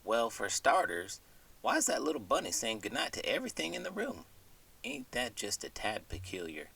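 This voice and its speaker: A good-natured cowboy acted out by a man drawls in a folksy American western accent. His pitch swings widely and the tone is bright and a little rough. He sounds puzzled by the world, as in a bedtime story skit. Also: very animated, moderate pace, distant mic, full character voice. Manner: folksy, colorful cowboy idioms. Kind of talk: scripted comedic bedtime interlude.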